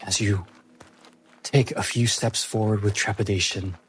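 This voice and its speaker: Low, slow-spoken male game master. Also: steady tone. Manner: deliberate, broken phrasing with pauses. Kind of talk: atmospheric narration of a tabletop fantasy game. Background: music.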